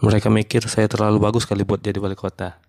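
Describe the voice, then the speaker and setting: A man voicing a male monkey stand-up comedian in a cartoon who is running for mayor, telling jokes in a deadpan, even way. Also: fairly low pitch, racing, creaky, raised voice, very noisy.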